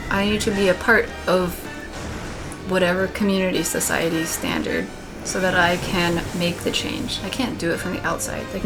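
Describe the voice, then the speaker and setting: Low, monotone woman musing while gaming. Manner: thoughtful, rambling, candid. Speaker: let's play gamer. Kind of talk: casual commentary while gaming. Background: game music.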